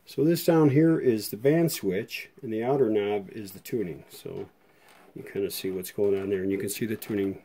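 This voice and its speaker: A man's voice: lowish voice, mellow. A man giving a casual hands-on demonstration as a vintage radio restorer, thinking aloud in an informal, tentative way.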